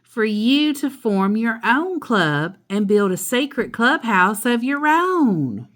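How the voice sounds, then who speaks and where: A woman voicing a sensible, patient mother bear in a storybook, speaking in a measured, instructive way. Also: a little high, very animated, a bit bright, pitched above the performer's own voice, heavily acted. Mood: suggesting. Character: sensible, patient mother bear giving gentle moral lessons.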